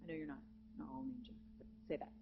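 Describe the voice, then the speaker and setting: A woman with a naturally low speaking voice teaching a live vocal jazz masterclass to students, lively and encouraging, in a conference hall. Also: fast, vocal fry. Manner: lively, encouraging, demonstrates with sung examples. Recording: dull lo-fi live recording with hum.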